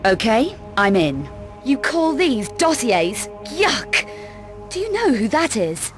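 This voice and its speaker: A woman's voice: on the high side, sing-song, slow, music in the background. A woman with a British accent playing a tough, sardonic female adventurer in a video game, speaking in a clipped, dry, demanding way. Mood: impatient, sardonic. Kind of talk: video game cutscene acting.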